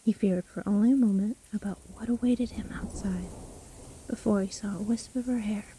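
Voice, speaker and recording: A woman playing a sly, teasing witch storyteller in a fantasy audio roleplay, speaking in a soft, breathy, theatrical and condescending way. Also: medium pitch, moderate pace, a little hiss or hum, lightly acted, low-quality recording. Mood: ominous, hushed. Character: sly, gloating witch storyteller toying with her trapped victim.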